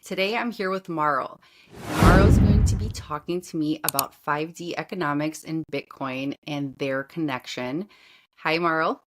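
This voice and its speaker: A woman with a notably low voice hosting a podcast conversation. She chats casually and gushes, piling on enthusiastic praise for her guest. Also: normal speed, a little hiss or hum. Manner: effusive and gushing. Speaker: podcast host. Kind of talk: casual interview chat.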